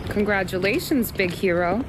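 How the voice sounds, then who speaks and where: A woman's voice: mid-range voice, normal speed, background noise, natural voice. A woman playing a sassy, teasing barroom woman in a crime game, wry in her delivery.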